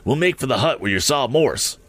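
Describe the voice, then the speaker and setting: A man voicing a brisk airship captain who barks urgent orders in a storybook reading. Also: mid-range voice, animated, fairly bright tone, no room sound, pitched above the performer's own voice. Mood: urgent shout.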